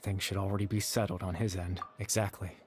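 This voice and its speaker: An actor playing a gentle, sorrowful young man in a video game, speaking softly and hesitantly with wistful remorse. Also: low voice, flat delivery, a little fast, faint music behind, close to an everyday voice. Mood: calm, explaining. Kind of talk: dramatic video game dialogue.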